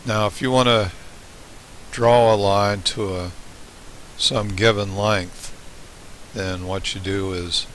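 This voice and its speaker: Male tutorial instructor, lowish pitch, bright forceful tone, halting, plain explanatory phrasing, hissy home voice-over. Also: rough recording. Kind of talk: step-by-step screencast tutorial, unscripted. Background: steady hiss.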